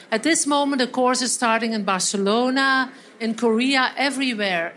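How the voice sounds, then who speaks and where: A woman's voice: sits high, a bit bright, slight background noise. A woman from Belgium co-presenting a live training workshop, explaining steps in slightly non-native phrasing. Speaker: seminar trainer. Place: event hall.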